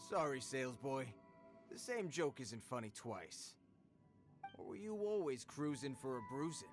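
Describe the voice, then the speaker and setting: A man's voice: mid-range voice, sing-song, unhurried, music in the background. A blustering, mocking bandit man. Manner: gruff taunting tough-guy talk.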